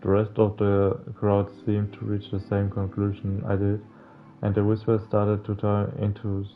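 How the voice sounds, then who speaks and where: A young man reading a visual novel aloud in a halting, casual way, like a gaming streamer. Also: fairly low pitch, completely flat delivery, narrow, tinny audio. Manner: halting, stumbling reading with casual slangy interjections. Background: faint game music.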